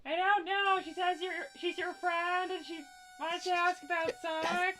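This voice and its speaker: A woman playing a patient, helpful cartoon mother, gentle and matter-of-fact, on an improvised livestream. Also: very high voice, flat delivery, normal speed, soft background music.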